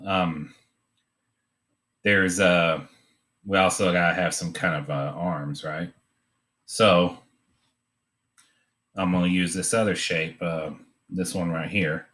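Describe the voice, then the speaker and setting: A man giving an informal drawing demonstration, talking in a hesitant, halting way as he thinks aloud. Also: sits low, steady tone, a bit bright. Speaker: art demonstrator.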